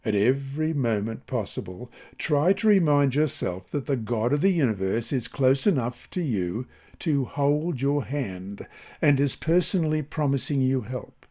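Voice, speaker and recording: Male narrator for scripture and devotional lessons: mellow tone, animated intonation, measured emphatic delivery. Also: mid-range voice. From Australia. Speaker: lesson reader.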